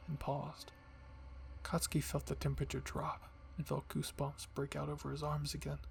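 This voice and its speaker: Man, ASMR horror story reading, gravelly voice, flat even delivery, slightly high. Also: slight background noise. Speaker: storyteller, channel host.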